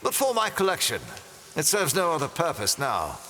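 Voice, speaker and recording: A man's voice: a little high, huge pitch swings, moderate pace, bright, forceful tone, a little hiss or hum, full character voice. A man playing a smug, theatrical villain in an audio drama, pompous and gloating with mock courtesy. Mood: commanding, smug. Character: smug, theatrical male villain, wealthy treasure collector.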